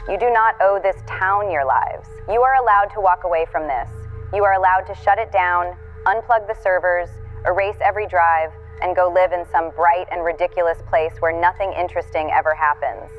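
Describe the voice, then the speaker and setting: An actress playing a resolute woman leaving a last video message in an audio drama, measured and earnest. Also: lowish voice, faint music behind, natural voice. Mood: solemn, reassuring. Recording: dull lo-fi video message playback.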